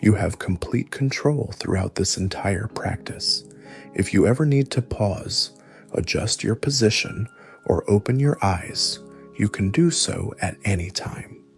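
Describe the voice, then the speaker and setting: A man narrating a scripted guided meditation, giving gentle instructions in a soothing, measured way. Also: fairly low pitch, expressive, vocal fry, soft background music.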